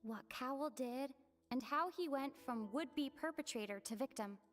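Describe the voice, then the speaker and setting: A woman voicing an earnest young detective in an anime game, reasoning aloud in a thoughtful, deliberate way. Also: sits high, close to an everyday voice. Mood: resolute, analytical.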